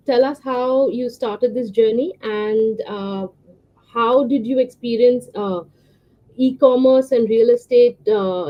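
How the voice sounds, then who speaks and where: A woman's voice: on the high side, dull audio. A woman hosting a podcast, reading a guest introduction and then asking questions with frequent fillers. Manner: reads introduction smoothly, asks questions with many fillers. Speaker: podcast host.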